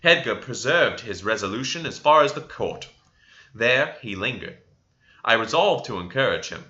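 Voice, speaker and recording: A man's voice: medium pitch, animated, moderate pace, sharp tone. A man reading literary narration expressively, as an audiobook reader. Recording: slightly dull lo-fi recording.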